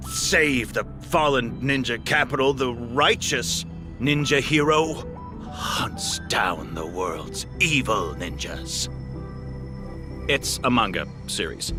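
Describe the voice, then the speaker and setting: An actor playing an earnest, excitable male scientist in a video game, theatrical, eager and easily flustered. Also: mid-range voice, close to an everyday voice. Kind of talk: scripted video game voice acting.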